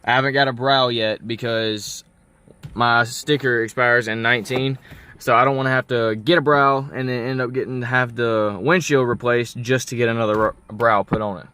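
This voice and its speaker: A man's voice: mid-range voice, fairly even intonation, normal speed, sharp tone, clean tone. A young adult man talking casually in an unscripted car vlog, explaining things in a folksy, rambling way. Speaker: car vlogger. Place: inside a parked car.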